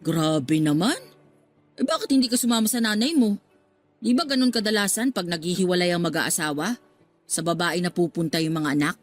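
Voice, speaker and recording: An actress playing a talkative, motherly middle-aged working-class woman in a radio drama, expressive and given to exclaiming. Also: on the low side, fairly quick. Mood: surprised. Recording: music under the voice.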